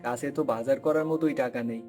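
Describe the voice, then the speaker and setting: A man's voice: medium pitch, fast, mellow, soft background music, close to an everyday voice. A man playing a hard-up, grumbling but affectionate village farmer and family man in a cartoon folk tale. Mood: weary, worried.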